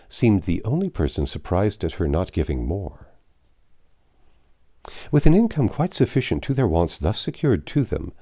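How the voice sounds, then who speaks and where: A man reading a classic novel aloud in a measured, expressive way, with the odd slip and self-correction. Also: deep voice. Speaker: audiobook narrator.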